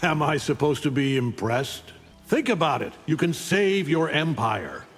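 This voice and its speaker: A man's voice: on the high side, very animated, measured pace, husky, big-room sound, faint music behind, exaggerated voice. A man voicing an arrogant, menacing alien conqueror in a video game, with a contemptuous, commanding manner. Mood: cold menace. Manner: contemptuous, taunting, coldly commanding. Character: arrogant, menacing alien conqueror, a middle-aged superhuman father. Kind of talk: video game voice acting, fight intro taunts.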